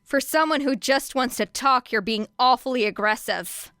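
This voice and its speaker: A woman's voice: high-pitched, moderate pace, fairly bright tone, clear, resonant tone, no room sound, studio quality, pitched above the performer's own voice. A woman voicing a defiant young girl on the run, taunting and sarcastic in her retorts. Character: cheeky, defiant runaway girl, quick with retorts.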